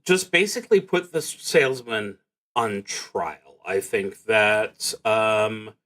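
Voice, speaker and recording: A man narrating a solo tabletop game on a livestream, thinking aloud in a casual, halting way with pauses mid-sentence. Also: on the low side, a bit bright. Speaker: solo tabletop roleplaying streamer.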